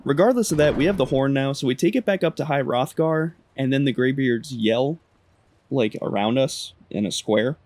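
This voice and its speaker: A man's voice: mid-range voice, normal speed, a little mellow, no echo. A man giving casual, humorous commentary on a video game in a wry, sarcastic way. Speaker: gaming video host.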